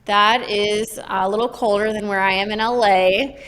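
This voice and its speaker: A woman's voice: medium pitch, fairly even intonation, normal speed, fairly bright tone, smooth. Upbeat woman host, echoey room. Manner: upbeat, chatty. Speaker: podcast host. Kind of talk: podcast interview. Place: home studio. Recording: long reverb.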